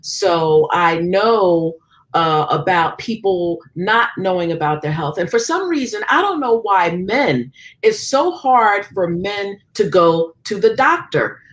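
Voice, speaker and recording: A woman's voice: lowish voice, expressive, takes it slowly. A woman hosting an interview, friendly and chatty, often affirming her guest. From United States. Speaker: interview host. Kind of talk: interview conversation.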